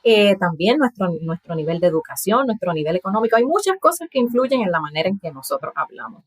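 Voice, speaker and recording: A woman in her thirties from Puerto Rico with a Puerto Rican accent and soft aspirated s sounds, explaining in a casual interview and laughing often. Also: medium pitch, very animated, fast talker, breathy edge, no room sound. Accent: Puerto Rican Spanish, aspirated s. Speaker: guest, language content creator.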